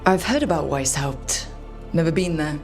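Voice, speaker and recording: An actress playing a curious, teasing young adventurer in a fantasy video game, speaking in a casual, dry way. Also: female voice, deep voice, lively intonation, moderate pace, faint music behind.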